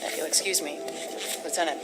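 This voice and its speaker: Low-voiced actress as a determined field agent. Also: female voice, steady tone, a little fast, lightly acted. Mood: businesslike resolve. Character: determined, businesslike female field agent, wry under pressure. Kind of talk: dramatic game cutscene dialogue. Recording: music behind the dialogue. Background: music.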